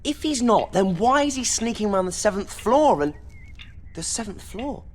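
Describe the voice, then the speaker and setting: An actor playing an earnest, suspicious British schoolboy wizard with a husky voice, in video game style. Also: male voice, high voice, expressive, moderate pace. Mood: suspicious, excited. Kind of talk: video game character acting.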